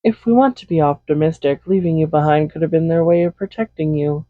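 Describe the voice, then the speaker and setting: A man playing a smug, possessive villain who dotes on a captive hero in an intimate audio roleplay, soft-spoken, unhurried and condescending. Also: a little high, no room sound, narrow, tinny audio, lightly acted. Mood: coolly condescending. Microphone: close USB condenser microphone.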